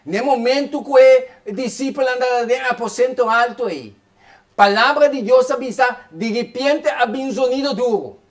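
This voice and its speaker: High-voiced man preaching a fervent sermon. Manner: fervent, rapid preaching with repeated phrases.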